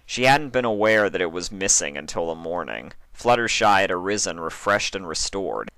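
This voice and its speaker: Deep-voiced man narrating a story, bright and dry. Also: lively intonation, normal speed, dry recording. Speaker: fan fiction reader, narrator. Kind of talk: scripted dramatic story narration.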